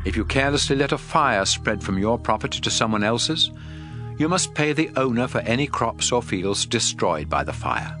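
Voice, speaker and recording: Solemn, expressive male actor as God giving laws, over music. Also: fairly low pitch. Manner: solemn, deliberate, measured declarations. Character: God laying down laws, stern, solemn, authoritative. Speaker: audio Bible narrator. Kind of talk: scripted dramatic scripture reading. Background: background music.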